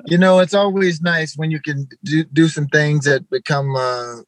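A man from Arkansas, an interview guest speaking in an easygoing, halting way. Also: fairly high pitch, steady tone, no echo. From Arkansas, USA. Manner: easygoing, halting, repeats words. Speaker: guest musician. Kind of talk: casual interview over video call.

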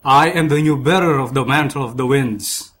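A man's voice: mid-range voice, moderate pace, a bit bright, close to an everyday voice. A man voicing a spirited but troubled young Persian warrior in a story, speaking earnestly and formally. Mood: formal, proud. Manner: earnest, formal old-world speech.

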